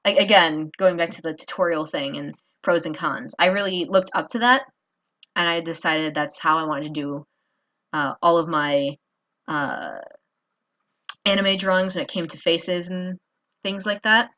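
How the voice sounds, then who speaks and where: A woman's voice: on the low side, a little slow, fairly bright tone, dull audio. A tired woman hosting a casual drawing tutorial, rambling with many fillers and apologizing as she works. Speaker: art tutorial host.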